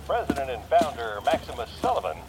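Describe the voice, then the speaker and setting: Man playing a brisk, animated 1930s newsreader. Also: mid-range voice, very noisy, lightly acted. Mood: brisk, official. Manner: clipped, official. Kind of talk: 1930s radio news announcing.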